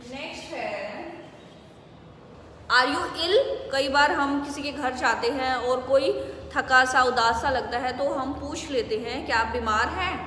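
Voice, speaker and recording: Indian woman, english teacher, slow careful delivery, drawn-out example phrases, faint room ambience. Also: high-pitched, sharp tone, slight background noise. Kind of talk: spoken English lesson in Hindi. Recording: echoey room with long reverb.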